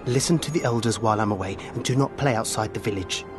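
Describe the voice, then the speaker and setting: A man playing a downtrodden, fearful villager in a video game, with a husky voice and heartfelt delivery. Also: lowish voice, fast talker, music in the background, close to an everyday voice. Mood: tender, reassuring. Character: downtrodden male villager, gentle with family, fearful. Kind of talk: video game cutscene acting.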